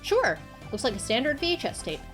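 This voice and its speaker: A nerdy young man acted out by a woman from a visual novel game, pompous about his obsessions and quick to get defensive. He is nervous and fussy, stammering as he goes, with music playing behind him. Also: high-pitched, big pitch swings, pitched above the performer's own voice.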